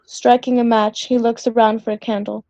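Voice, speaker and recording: A woman's voice: on the high side, level tone, normal speed, mellow, no room sound. A woman reading scripted stage directions for a play as a narrator.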